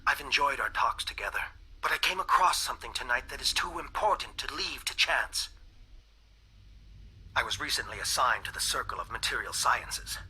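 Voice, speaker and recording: Solemn, bright-voiced alien scientist. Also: male voice, mid-range voice, expressive, normal speed, husky, exaggerated voice, poor audio. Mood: sad, resigned, then wry, thoughtful. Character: earnest alien scientist recording a grave final message. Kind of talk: dramatic monologue in a video game.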